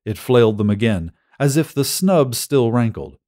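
Male narrator with lively intonation. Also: mid-range voice, normal speed. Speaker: audiobook narrator. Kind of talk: scripted story narration.

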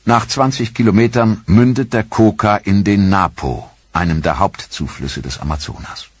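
A man narrating a history documentary in a measured, sober manner. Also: on the low side, husky, dry recording. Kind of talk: scripted narration. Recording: dry studio voice-over.

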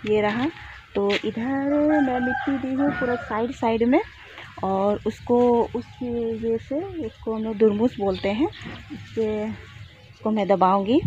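Slow-talking young village vlogger woman. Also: mid-range voice. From Jharkhand, India. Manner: chatty, showing chores while walking and working. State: tired from a day of physical work. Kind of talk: casual vlog narration to camera. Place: outdoors in a village yard. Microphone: phone camera at arm's length. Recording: dull lo-fi phone audio with clear outdoor noise.

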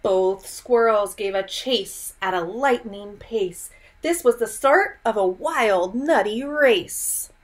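A young woman reads a picture book to children at an unhurried pace. She enunciates deliberately and tells the story expressively, like a teacher guiding little listeners. Her voice sits at a medium pitch. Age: young adult. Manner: animated storytelling, deliberate enunciation, audible gasps. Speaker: speech and language teacher reading aloud. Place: small furnished room at home, indoors.

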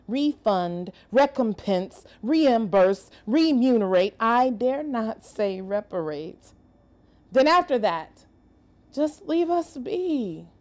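A woman performing dramatic spoken-word poetry with deliberate, emphatic, measured phrasing. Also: on the high side, darkish tone. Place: inside an empty streetcar.

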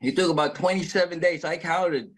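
Man, about 40, from the Philippines; slightly high voice; eager testimonial to a phone camera, lots of restarts; small room, close mic. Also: brisk. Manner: earnest, excited storytelling with many restarts. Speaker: network marketing member sharing his story. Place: small indoor room with plain wall. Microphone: phone camera at close range.

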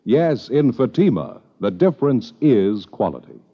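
An American man reading a 1950s radio advertisement as a commercial announcer, with a husky voice and a polished sales delivery. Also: mid-range voice, big pitch swings, measured pace. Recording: dull vintage radio recording.

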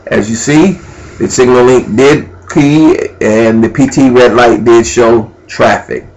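A man with a medium-pitched voice presents an amateur computer tutorial. He talks informally and without a script, instructing step by step and thinking aloud as he works through the screens. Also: a little slow, a little mellow, low-quality recording. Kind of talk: unscripted screen-recorded software walkthrough. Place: indoors at a computer.

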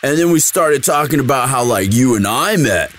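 A man's voice: mid-range voice, animated, moderate pace, fairly bright tone, slight background noise, lightly acted. A young man playing a sweet, dim, eager athlete boyfriend in a casual, intimate audio roleplay, with a slangy, drawling delivery. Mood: fond, teasing.

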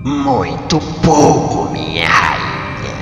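A Brazilian man voicing an arrogant alien envoy of a tyrant in a motion comic, with a slow, taunting, theatrical delivery. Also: low-pitched, very animated, voice pushed down, exaggerated voice. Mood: menacing. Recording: echoey long reverb, music underneath.

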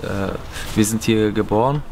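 A man's voice: on the low side, moderate pace, darkish tone. A young man from Berlin answering interview questions earnestly, seated in an empty stadium. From Berlin, Germany. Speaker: footballer. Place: empty stadium stands, outdoors. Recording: noisy outdoor stadium recording.

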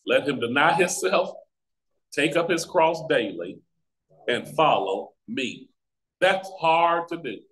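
An American man teaching a Bible study as a pastor, with deliberate, emphatic phrasing and pauses, over a video call. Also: fairly high pitch, a bit bright, poor audio. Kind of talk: Bible teaching with scripture reading. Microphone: video call microphone.

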